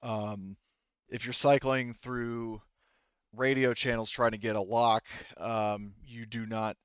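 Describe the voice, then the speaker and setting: A man working as game master of a tabletop roleplaying game, narrating casually and haltingly, correcting himself as he explains on a headset. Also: medium pitch, little pitch movement, fairly bright tone, smooth, no room sound, dull audio.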